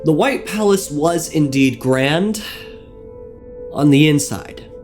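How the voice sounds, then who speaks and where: A man narrating a scripted video essay on game lore, with wry, deadpan asides. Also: a little high, slow pace. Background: music.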